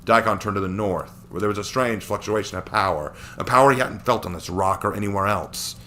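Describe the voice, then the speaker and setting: A man with a deep voice reads a dramatic story aloud. He works as the narrator of a scripted action tale. There is a faint noise in the background. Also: a little fast, sharp tone.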